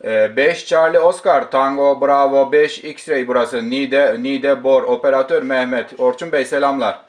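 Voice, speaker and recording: Polite guy on ham radio, average pitch, doing call signs and short exchanges. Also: steady tone, a little slow, slight background noise. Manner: polite, courteous. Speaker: amateur radio operator. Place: amateur radio station.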